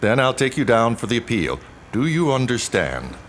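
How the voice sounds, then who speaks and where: A man's voice: mid-range voice, sing-song, moderate pace, slight background noise, lightly acted. A man playing a stern police lieutenant in an audio drama, speaking in a clipped, official, procedural way. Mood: formal, stern.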